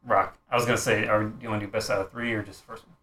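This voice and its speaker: A man's voice: fairly low pitch, level tone, racing, noisy background. A man on a podcast panel chatting casually, with a loose, joking, informal manner. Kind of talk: casual chat.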